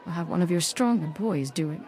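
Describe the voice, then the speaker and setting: An actress playing a young private detective in a video game, sardonic, guarded and formally polite. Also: female voice, on the low side, expressive, a little fast, mellow, a faint crowd, close to an everyday voice. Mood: politely firm. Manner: dry, wry, formally polite. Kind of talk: video game dialogue and narration.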